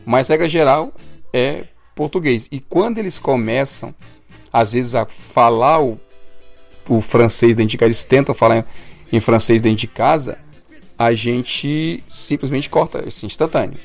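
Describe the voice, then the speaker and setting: A man's voice: mid-range voice, a little slow, gravelly, faint music behind. A Brazilian man co-hosting a casual podcast, explaining things at length with a few restarts and corrections. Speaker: podcast co-host.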